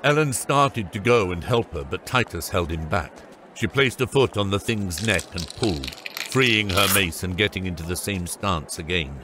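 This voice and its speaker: A man's voice: deep voice, expressive, moderate pace, background noise. A man narrating a scripted fantasy audiobook.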